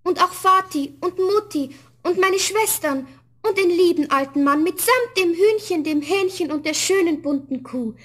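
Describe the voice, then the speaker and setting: Young heroine for a fairy-tale record: very high voice, sweet, earnest, well-mannered. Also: female voice, moderate pace, a bit bright. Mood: prayerful. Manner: polite, tender, earnest. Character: sweet, dutiful, polite young girl. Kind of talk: 1970s German fairy-tale radio play.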